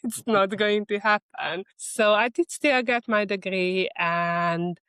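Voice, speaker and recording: A woman's voice: lowish voice, fairly bright tone, no room sound, low-quality recording. A middle-aged woman with a Hungarian accent, speaking conversationally as an interview guest about herself and her work. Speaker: interview guest, marketing executive. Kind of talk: conversational interview, telling career story and advice.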